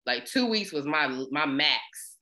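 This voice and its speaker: A woman in her late thirties with a bright, forceful voice talks openly and laughs often. Her storytelling is animated and candid, in an easygoing chat. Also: low-pitched, measured pace. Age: 30s. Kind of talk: casual chat.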